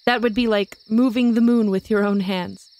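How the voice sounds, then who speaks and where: A woman playing a wise, dignified witch who speaks in formal, measured phrases in an audio drama. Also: mid-range voice, fairly even intonation, a little mellow, natural voice. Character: wise, dignified elder-sisterly witch lady.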